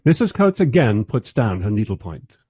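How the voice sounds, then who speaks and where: An animated, curious narrator. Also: male voice, on the low side, moderate pace, slightly rough. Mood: intrigued, wry. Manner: confiding, wryly observant. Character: curious male narrator reviewing a recorded interview. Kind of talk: scripted radio play narration.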